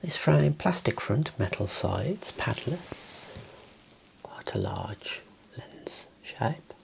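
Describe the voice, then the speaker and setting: A man in his thirties whispering gently and soothingly in an ASMR roleplay as an optician, close to the mic in a small room. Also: medium pitch, very animated, slow, gravelly. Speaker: ASMR performer playing an optician.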